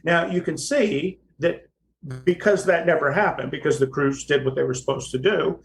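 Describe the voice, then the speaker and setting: Gravelly American man, plain-spoken commentary. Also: fairly high pitch, a little hiss or hum. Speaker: podcast co-host and firefighting instructor. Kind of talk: casual expert commentary on fire incidents.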